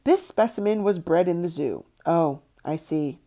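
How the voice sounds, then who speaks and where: A curious young storybook boy, acted by a woman narrator, polite and murmuring. The voice is mellow, with questions that lilt up and down. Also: on the low side, lively intonation, a little slow. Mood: curious, friendly. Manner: murmuring, gentle.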